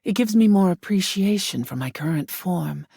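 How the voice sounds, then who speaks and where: A woman voicing an ancient, aloof female spirit of air in a video game, with a husky, breathy voice that often sinks to a whisper and a solemn, formal delivery. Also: on the low side, lively intonation, moderate pace, warm tone, no room sound, studio quality, heavily acted. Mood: awed, solemn. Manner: languid, imperious, solemn, formal diction. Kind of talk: video game character acting.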